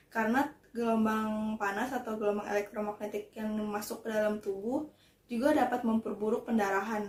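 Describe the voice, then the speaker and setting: A woman's voice: medium pitch, darkish tone. A young adult woman, a student presenter, explaining point by point in an academic presentation. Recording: roomy, echoing voice recording.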